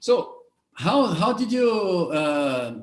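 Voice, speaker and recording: A man's voice: sits high, very animated, takes it slowly, roomy sound. A man with a Brazilian Portuguese accent, a native Portuguese speaker, interviewing a guest in a casual video call, often repeating his words. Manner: repeats words, stumbles, friendly.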